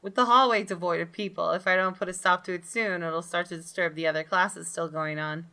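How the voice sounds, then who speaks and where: A woman reading a visual novel aloud as expressive, dramatic storytelling for a gaming video. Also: fairly low pitch, a little fast. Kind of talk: expressive scripted narration with brief asides. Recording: game music behind the voice. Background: soft game music.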